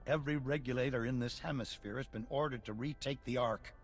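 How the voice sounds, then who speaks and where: An actor playing an earnest, grave colonial leader in a video game, talking in a measured, slightly wry way. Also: male voice, medium pitch, faint music behind, close to an everyday voice. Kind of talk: video game cutscene acting.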